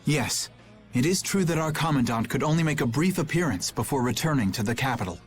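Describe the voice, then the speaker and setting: A man plays an honorable young knight captain in a video game. His medium-pitched voice is formal and grave, with faint music underneath. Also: lively intonation, brisk, close to an everyday voice. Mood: firm, official. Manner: formal, solemn, sincere. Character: earnest, principled young male knight captain.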